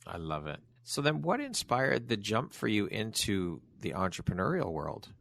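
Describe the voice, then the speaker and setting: A man's voice: fairly low pitch, wildly swooping pitch, normal speed, gravelly, fairly bright tone, no echo. A man hosting a podcast interview, chatty and affirming, summing up what his guest says. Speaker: podcast host. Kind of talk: casual interview talk.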